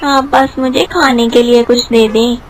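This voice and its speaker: Woman playing innocent cartoon boy hawker, high-pitched, earnest, good-natured, background noise. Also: fairly even intonation, measured pace, close to an everyday voice, rough recording. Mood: humble. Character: naive, good-natured young male vegetable hawker Mitthu.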